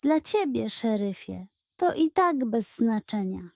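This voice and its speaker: A woman's voice: on the high side, big pitch swings, takes it slowly, creaky, darkish tone, dry recording, heavily acted. A woman voicing a cynical male coyote outlaw in a children's western story, sardonic and dismissive. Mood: wry contempt.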